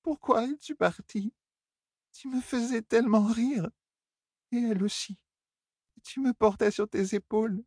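A man's voice: unhurried, pauses often, a little mellow, slightly rough, no room sound, clean studio sound, heavily acted. Man voicing a drunk, petulant young heiress. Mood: tearful. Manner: high, slurred pleading and indignant outbursts.

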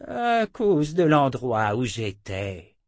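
A man voicing an old wizard in an audiobook, croaking and emphatic, urgent and proud. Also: mid-range voice, wildly swooping pitch, slow pace, no echo, studio quality, full character voice. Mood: relieved, proud. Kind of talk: audiobook character voice.

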